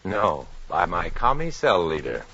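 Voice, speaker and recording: An actor playing a grim, lonely, hard-boiled undercover agent in a 1950s radio drama. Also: male voice, deep voice, big pitch swings, a little slow, vocal fry, bright, forceful tone, full character voice. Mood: urgent, clipped. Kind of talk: 1950s radio drama acting, first-person narration. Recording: muffled, noisy 1950s radio recording.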